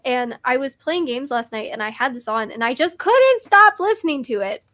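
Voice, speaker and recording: A woman's voice: high voice, lively intonation, normal speed, fairly bright tone, no echo. A young woman co-hosting a casual music review chat, enthusiastic and gushing, talking with lots of likes and restarts while losing her voice.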